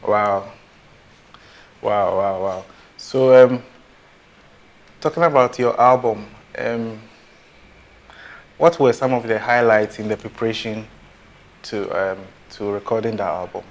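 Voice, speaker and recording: A man hosts a relaxed interview in a low voice. He asks his questions plainly and keeps encouraging his guest, often with an approving wow. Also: takes it slowly, long pauses. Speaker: interviewer host. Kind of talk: casual interview chat.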